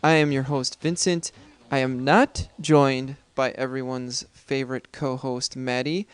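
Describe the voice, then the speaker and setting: A man's voice: medium pitch, steady tone, unhurried, smooth. A man hosting a podcast, introducing things casually in an informal, jokey, self-deprecating way. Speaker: podcast host.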